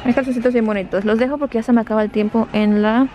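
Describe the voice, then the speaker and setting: A woman's voice: fairly high pitch, steady tone, fast, muffled recording, over music. A young woman chatting casually to her viewers and her children while she shops and films herself on a handheld camera inside a large store. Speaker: vlogger. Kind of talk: casual vlog chat while shopping. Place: large retail store, indoors. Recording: noisy store background.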